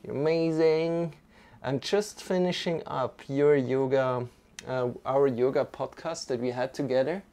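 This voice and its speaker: Gravelly Swiss-accented male yoga streamer. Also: medium pitch, fairly even intonation, a little slow, dark tone. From Switzerland. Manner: friendly, welcoming, loose with frequent restarts. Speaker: yoga instructor and live-stream host. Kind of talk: live-streamed yoga class, chatting with viewers.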